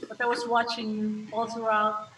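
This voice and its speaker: A woman's voice: fairly high pitch, completely flat delivery, moderate pace, a bit bright. Easygoing woman hosting an online meeting. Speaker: meeting host. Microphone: video call. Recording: echoey room, distant mic, faint noise.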